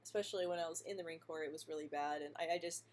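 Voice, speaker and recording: A young woman who stutters talks in a casual live-stream chat, her voice sitting a little low. She speaks softly, often repeating the first sounds of words, and laughs lightly between answers. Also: fairly even intonation, racing, a little hiss or hum. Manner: stutters with frequent sound and word repetitions. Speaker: vlogger answering viewer questions live.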